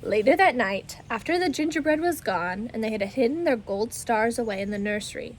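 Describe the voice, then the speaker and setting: Woman, fairly high voice, expressive children's read-aloud, slight background noise. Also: fairly quick, rough recording. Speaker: storyteller reading aloud for children.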